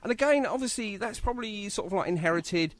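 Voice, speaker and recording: A man's voice: sits high, animated, quick pace. An English man hosting a radio show, chatting effusively with a guest about music and agreeing often.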